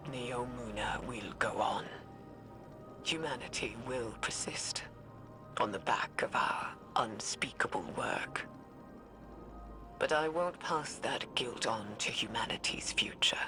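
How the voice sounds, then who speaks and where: Old woman scientist, tired and grieving, slow whispery log entry, game music behind. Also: extremely low pitch. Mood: solemn, guilty. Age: older. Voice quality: breathy, whispery, frail. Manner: slow, weary, solemn. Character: weary old woman scientist, guilt-ridden, grieving, resolute. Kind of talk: dramatic recorded log monologue.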